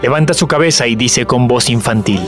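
Male audio drama narrator over loud music. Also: medium pitch, fairly quick.

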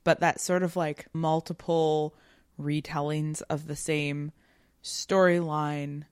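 A woman's voice: deep voice, level tone, slow, clean tone, no room sound. Woman chatting analytically, close dry sound. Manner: analytical, informal with frequent "like". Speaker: podcast co-host. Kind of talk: casual conversational literary discussion.

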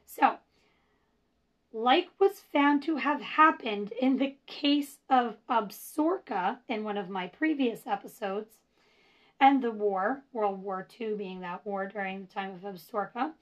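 A woman hosting a casual history podcast, chatty and self-deprecating with rambling asides, her voice going hoarse. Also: mid-range voice, unhurried. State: losing her voice. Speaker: podcast host. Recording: dull lo-fi recording.

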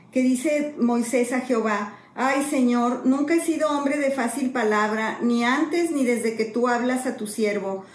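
A middle-aged woman pastor, telling a personal testimony earnestly and slowly. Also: on the high side, a little hiss or hum. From Mexico. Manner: earnest storytelling, slow and emotional. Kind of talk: sermon-style testimony. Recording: echoey room, faint ambience.

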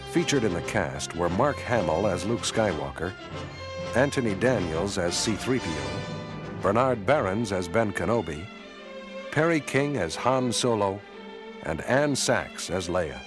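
A man's voice: on the low side, expressive, a little slow. A man narrating a space-saga radio drama in a grave, formal, scripted style. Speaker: narrator and credits announcer. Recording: radio broadcast over loud music.

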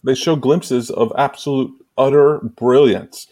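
A man's voice: medium pitch, steady tone, a little slow, a little mellow. A man hosting a casual sports talk podcast with a joking, teasing manner. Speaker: podcast host.

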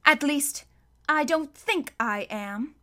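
A clever eldest orphan girl from a storybook, voiced by a woman, high and bright. She is polite yet defiant, choosing her words with composed precision. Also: lively intonation, slow pace, full character voice. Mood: testy. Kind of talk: audiobook character voice.

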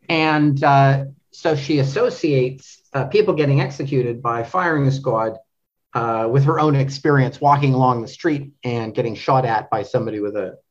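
A man from Canada with a mid-pitched voice talks through literature as a podcast guest. He is thoughtful and halting, pausing often to find the next word. The recording is a little dull and lo-fi. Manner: halting, searching for words, frequent hesitations. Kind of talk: thoughtful interview discussion of literature.

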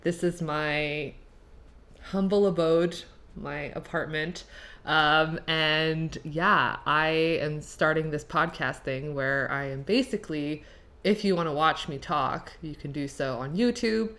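A woman's voice: sits low, slow, a bit bright. Chatty young Canadian woman, native French speaker. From Quebec, Canada. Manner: chatty, extroverted, filler-heavy with "like". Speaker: podcast host. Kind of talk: casual personal monologue. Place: apartment.

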